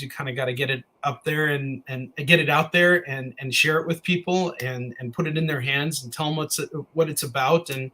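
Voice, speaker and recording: A friendly man from the Chicago area, guesting on a podcast, talks earnestly and often pauses on ums and uhs. His voice is bright and clear, at a middle pitch for a man. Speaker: guest comics creator. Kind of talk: casual interview chat.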